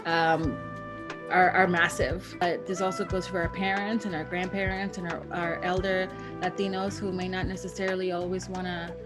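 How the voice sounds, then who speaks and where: A woman from El Salvador speaks about her work as a street artist. Her voice is low and earnest, broken up with ums. Guitar music plays clearly beneath her. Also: little pitch movement, moderate pace. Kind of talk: interview talk.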